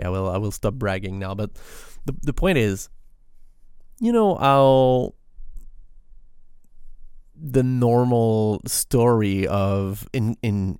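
A man from Quebec with a French Canadian accent, a native French speaker, talking alone about programming in a halting way with lots of restarts. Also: fairly low pitch, a little mellow, smooth, no room sound, studio quality. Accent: Québécois French. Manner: halting, many restarts and fillers. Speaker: podcast host. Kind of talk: solo tech talk.

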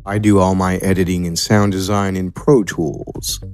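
A man's voice: deep voice, little pitch movement, moderate pace, darkish tone. An American man who works as an audio producer, giving explanatory answers as an interview guest. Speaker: audio producer and sound designer, podcast guest.

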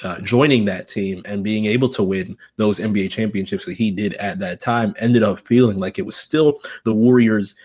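A man with a fairly low voice hosts a sports discussion. He talks in measured, long-winded sentences and picks somewhat formal phrases, as in semi-formal commentary. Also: darkish tone, husky. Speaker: sports podcast host.

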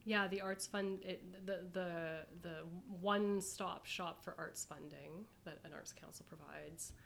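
A woman's voice: on the low side, fairly even intonation, a little slow, gravelly, a bit bright. Woman moderator with noise behind her. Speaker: host, moderator. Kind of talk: casual panel moderation.

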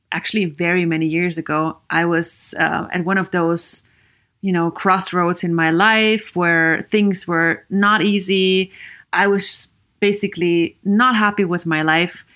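A woman with a Viennese Austrian accent talks unhurriedly through a solo podcast episode. Her voice sits a little low, and she comes across as earnest and encouraging, often breaking off into "you know" while she asks her listeners questions. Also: steady tone, a little slow, fairly bright tone. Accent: Viennese Austrian German. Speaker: podcast host, psychologist.

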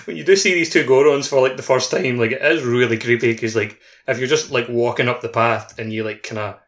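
A man's voice: mid-range voice, fairly quick, a bit bright. A man co-hosting a podcast in casual chat, very informal and loosely phrased. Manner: informal, frequent use of "like". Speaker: podcast co-host. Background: background music.

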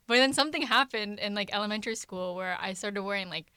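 A woman's voice: medium pitch, fast talker, pushed and bright, clear, resonant tone, no room sound, clean studio sound. A teenage girl co-hosting a casual podcast, easygoing with wry asides and laughter. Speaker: podcast co-host. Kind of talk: casual chat.

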